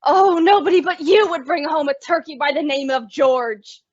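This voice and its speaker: A woman's voice: high-pitched, normal speed, no echo, lightly acted. A woman playing a sensible, exasperated roommate with a sardonic, dramatic delivery in old-time radio comedy. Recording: dull lo-fi radio-style recording.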